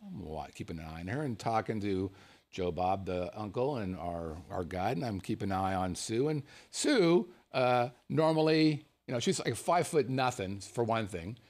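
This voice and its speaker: A man from the United States, a show host, telling a personal anecdote in a casual, animated way and chuckling as he goes. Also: fairly low pitch, normal speed. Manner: animated storyteller, chuckles through his words.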